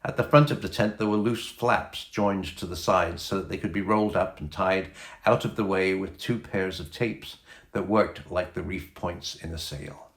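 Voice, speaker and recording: A man's voice: low-pitched, moderate pace. A man reading a children's storybook aloud as a scripted audiobook narration. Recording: echoey room sound.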